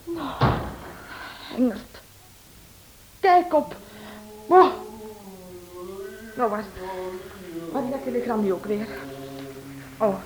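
A woman's voice: fairly high pitch, expressive, takes it slowly, pauses often, darkish tone. A woman playing a nosy, pouting, playful wife in a radio drama, with a melodramatic manner. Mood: curious, excited. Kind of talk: Dutch-language radio drama acting. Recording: dull old recording with clear noise.

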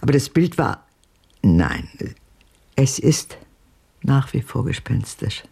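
Husky, gravelly old woman. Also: extremely low pitch, very animated, warm tone, heavily acted. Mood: haunted, reflective. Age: older. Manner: hesitant, halting, reflective. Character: haunted, wistful elderly woman recalling her past. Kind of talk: German radio drama acting.

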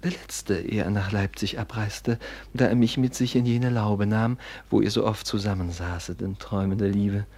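A man's voice: fairly low pitch, fairly even intonation, moderate pace, few pauses. An actor playing a scheming, honey-tongued younger nobleman in a theatrical, insinuating manner in a classic German radio drama. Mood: feigned tenderness. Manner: theatrical, insinuating, swinging from hushed to exclaiming.

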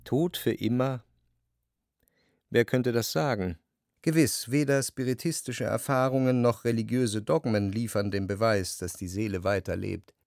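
A man's voice: mid-range voice, fairly even intonation, a little slow, creaky, darkish tone, no room sound. A man narrating a literary radio drama in a reflective, earnest manner, recorded close in a studio. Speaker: first-person narrator. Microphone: close, dry studio.

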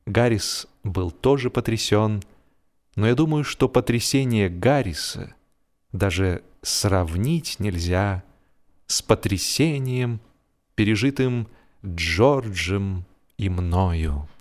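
A man narrating humorous literary prose like an audio play, with expressive, theatrical delivery and deliberate, drawn-out phrasing. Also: lowish voice. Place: studio.

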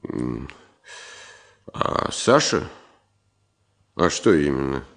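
A man's voice: a bass voice, sing-song, very slow, fairly bright tone, full character voice. An actor in a Russian radio drama playing a fussing, exasperated professor who pleads and blusters, repeating his words. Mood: calmer. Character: exasperated, fussing professor, old friend of the engineer. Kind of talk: 1970 Soviet radio drama acting.

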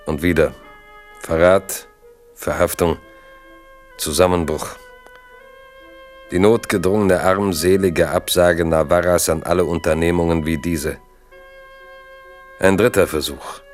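A man's voice: sits low, steady tone, a little slow, pauses often. A man narrating a German radio drama in a scripted, dramatic way, with terse, weighty phrasing. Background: music.

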